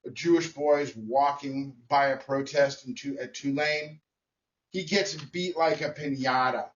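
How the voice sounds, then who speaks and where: A man giving a blunt, emphatic opinion monologue to camera, speaking in short phrases with pauses. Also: mid-range voice, distant mic, highs cut off. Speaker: vlogger.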